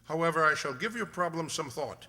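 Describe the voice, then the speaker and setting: A man playing a composed, analytical gentleman detective, measured and polite, in an amateur radio drama. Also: a little high, animated. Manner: measured, polite, dryly authoritative. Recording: long hall-like reverb.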